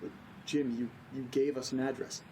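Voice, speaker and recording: An actor playing an anxious, earnest man in a naturalistic audio drama, speaking in a hesitant, halting way. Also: mid-range voice, level tone, dark tone, slight background noise, lightly acted, low-quality recording. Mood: uneasy, pressing. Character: anxious, earnest man recording strange events at home. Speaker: lead character of a horror audio drama.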